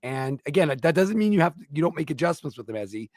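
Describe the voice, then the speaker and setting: A man's voice: a little high, big pitch swings, quick pace, darkish tone, no room sound. Dry-voiced man arguing hockey opinions. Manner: opinionated, frequent restarts and repeated words. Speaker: co-host of a hockey talk show. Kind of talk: casual sports talk.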